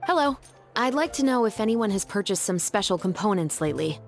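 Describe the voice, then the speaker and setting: A woman's voice: mid-range voice, animated, a little fast, soft background music, natural voice. A woman playing a composed, dutiful young patrol officer in a video game, speaking formally and earnestly. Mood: polite, probing.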